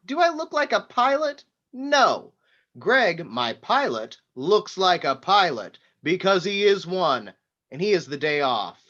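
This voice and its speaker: An actor playing a brash, demanding, foul-mouthed tech tycoon, pushy and sarcastic, in a screenplay table read. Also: male voice, high voice, sing-song, measured pace, bright, forceful tone, dull audio, heavily acted. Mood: sarcastic, exasperated.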